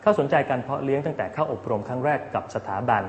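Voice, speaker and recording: A male TV presenter delivers a scripted magazine-show introduction at a very rapid clip. His voice rings through an echoey space with long reverb. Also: mid-range voice. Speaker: TV programme presenter.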